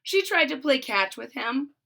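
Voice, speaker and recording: A woman's voice: high voice, sing-song, unhurried, gravelly, sharp tone. A woman reading a children's storybook aloud, expressively, with explanatory asides to young listeners. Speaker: storybook reader.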